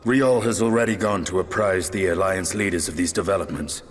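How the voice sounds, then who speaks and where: An actor playing an aloof, gruff lancer in a fantasy game cutscene, speaking tersely in formal, archaic phrasing. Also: male voice, low-pitched, a little fast, natural voice. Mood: grave, matter-of-fact. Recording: long reverb, music behind.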